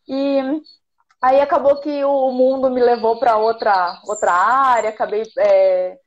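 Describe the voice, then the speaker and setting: A woman's voice: a little high, fairly even intonation, a little slow, dull audio. A woman in her thirties from the São Paulo countryside with a caipira accent, chatting as a guest on a video call, hesitant with many restarts. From interior of São Paulo state, Brazil. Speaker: guest, voice-acting student. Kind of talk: casual video-call chat. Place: small room at home. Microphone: laptop webcam on a video call.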